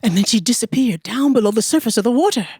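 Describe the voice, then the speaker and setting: A woman storyteller narrating a folktale in a deliberate, expressive way, with dramatic pauses. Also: a little high, slightly rough, dry recording, clean studio sound.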